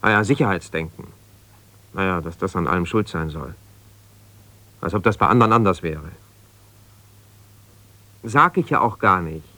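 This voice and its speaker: Stubborn, ambitious lawyer and abandoned husband: low voice, dry close sound, insistent, naturalistic radio play. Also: male voice, animated, moderate pace, long pauses, pushed and bright, no echo, full character voice. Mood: stubborn, reluctant. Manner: argumentative, insistent, hesitating when conceding. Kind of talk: naturalistic radio drama monologue on the telephone.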